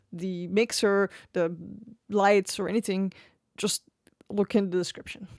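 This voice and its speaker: Woman, casual gear review, easygoing and laughing, close studio mic, dry sound, mid pitch. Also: dry recording. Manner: chatty and easygoing, with frequent laughs. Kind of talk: casual tech review talk. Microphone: close XLR studio microphone on an arm.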